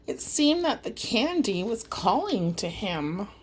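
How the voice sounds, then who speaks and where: A woman's voice: medium pitch, sing-song, slow pace, husky. A woman reading a rhyming children's story aloud as an audiobook, in a dramatic, singsong storytelling manner. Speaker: audiobook reader.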